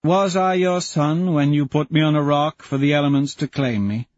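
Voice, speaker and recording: A sneering young nobleman with a cold, mocking edge, as a male audiobook reader plays him. The voice sits well above the reader's own pitch, louder and sharper. The recording is dry and a little dull. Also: fairly even intonation, moderate pace, dry recording, natural voice. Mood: cold gloating.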